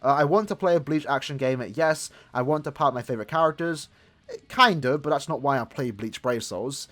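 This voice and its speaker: A man's voice: mid-range voice, a little fast, fairly bright tone. A man in his twenties giving casual, rambling gameplay commentary as a gaming YouTuber, chatting to his viewers. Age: 20s.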